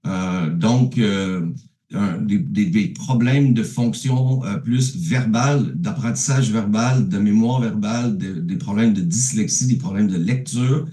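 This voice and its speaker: A man with a Québécois French accent presents his research from home over a video call. He speaks in a mellow voice, stopping often with fillers and restarts. The sound is roomy and echoey. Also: on the low side. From Quebec, Canada. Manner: halting, many fillers and restarts. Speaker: neuropsychologist giving a remote talk. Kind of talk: conference presentation. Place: at home, alone.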